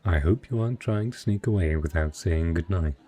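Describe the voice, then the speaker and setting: Man acting a deep, husky, suave merchant lord. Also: fairly quick, warm tone. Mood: courteous, intrigued. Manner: courtly, formal, smooth-talking flirtation. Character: wealthy male merchant patron, secretly a dragon, suave and possessive. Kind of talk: romantic fantasy audio roleplay addressed to the listener.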